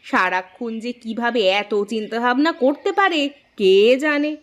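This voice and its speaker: A woman's voice: a little high, animated, moderate pace, close to an everyday voice. A woman playing a melodramatic, scolding village mother of two daughters in an exclamatory, teasing cartoon style. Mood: exasperated, mocking. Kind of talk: Bengali moral-story cartoon acting. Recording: music behind the voice.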